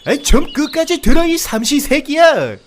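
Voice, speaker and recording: A man's voice: high voice, very animated, a little slow, a little hiss or hum, voice pushed up. A man voicing a pleading, wheedling talking deer in a comedic audio fairy tale, obsequiously polite like a salesman. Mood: exasperated. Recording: outdoor nature ambience, birdsong behind.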